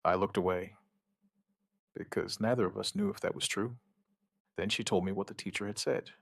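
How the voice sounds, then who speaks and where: A man narrating a scripted first-person survivor horror story, gravely and in short, measured phrases with dramatic pauses. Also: deep voice, steady tone, fairly bright tone, no echo, studio quality.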